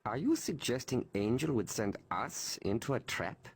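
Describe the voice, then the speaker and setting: An actor playing a loyal, formal hero in a video game, husky and proper. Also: male voice, medium pitch, big pitch swings, moderate pace. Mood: indignant. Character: loyal, formal male mutant hero, indignant.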